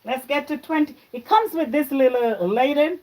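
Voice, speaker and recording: A woman's voice: sits high, normal speed, darkish tone. Chatty Jamaica-born woman cooking live. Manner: chatty, talks to viewers while cooking. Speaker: livestream cooking host. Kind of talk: casual cooking livestream. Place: home kitchen. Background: frying and stirring sounds.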